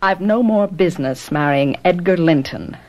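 An actress playing a headstrong, passionate young gentlewoman in a classic radio drama, earnest and theatrical. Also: on the low side, lively intonation, normal speed, natural voice. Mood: confiding.

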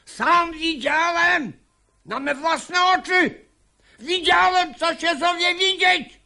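A man's voice: very high voice, slow pace, creaky, pushed and bright, full character voice. An actor playing a hot-tempered, exasperated father in a radio play, delivering verse with blustering emphasis. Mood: exasperated fury. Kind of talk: theatrical radio drama acting in verse.

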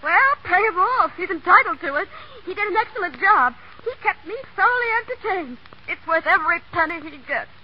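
An actress playing a spoiled, heartbroken young heiress in a brittle, sarcastic 1930s American radio drama style. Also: female voice, very high voice, lively intonation, brisk, few pauses. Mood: bitter sarcasm. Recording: muffled, noisy 1939 radio broadcast.

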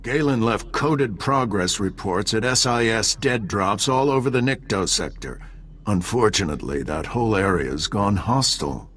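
An actor playing a sober, stubborn intelligence chief in a video game, clipped and businesslike. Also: male voice, lowish voice, lively intonation, moderate pace, a bit bright, slight background noise.

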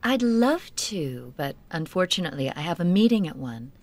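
A woman's voice: fairly low pitch, very animated, moderate pace. A woman reading a scripted coursebook dialogue as a businesslike magazine editor.

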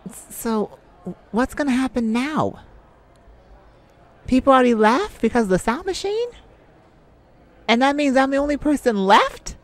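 Woman acting as shy young man, sweet, flustered, stammering, high-pitched for a guy, unhurried, ASMR roleplay. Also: expressive. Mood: nervous, flustered. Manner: stammering, giggly, earnest. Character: shy, sweet, flustered young male open-mic singer.

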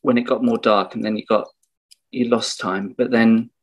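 A man's voice: on the low side, fairly even intonation, normal speed, breathy edge, voice pushed down. Guy acting as a sad sentimental man, hesitant n sighy, improv comedy. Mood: glum, wistful. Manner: hesitant, sighing. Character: downcast, sentimental man mourning small lost rituals.

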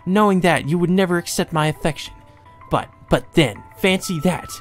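A man's voice: high-pitched, faint music behind, pitched above the performer's own voice, natural voice. A man voicing a deranged, lovesick teenage sister from a visual novel game, with theatrical, mocking, coaxing delivery. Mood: bitter, lovesick. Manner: taunting, theatrical, drawn-out coaxing.

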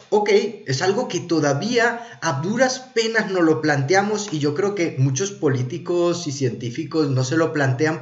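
A man, explaining things casually and conversationally as a streamer, often checking in with rhetorical tags. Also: medium pitch. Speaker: streamer reviewing films and TV. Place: small indoor room. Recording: roomy, echoey, slightly dull sound.

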